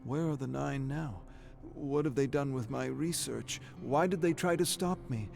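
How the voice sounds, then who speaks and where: A man's voice: mid-range voice, measured pace, creaky, darkish tone, soft background music. An actor playing a tormented, desperate scientist craving a drug, a man pleading in an agitated, anguished way in game voice acting. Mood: anguished, confused.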